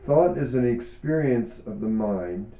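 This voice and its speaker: A man reading reflective self-help teaching aloud in a deliberate, measured way, pausing often between short phrases. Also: mid-range voice, animated, creaky, mellow. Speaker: author and yoga teacher reading from own book. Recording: dull, echoey room recording.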